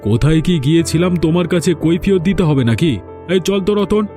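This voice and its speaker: Mellow-voiced, fed-up village fisherman played by a man. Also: on the high side, lively intonation, fairly quick, faint music behind, close to an everyday voice. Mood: resolved, indignant. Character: put-upon village fisherman, fed up, determined. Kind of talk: animated folk-tale dialogue.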